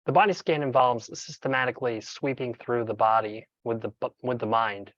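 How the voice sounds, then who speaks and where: A man speaking as a meditation coach, narrating a guided session in a measured, instructional way with deliberate pauses. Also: medium pitch, fairly even intonation, a bit bright, dry recording, highs cut off.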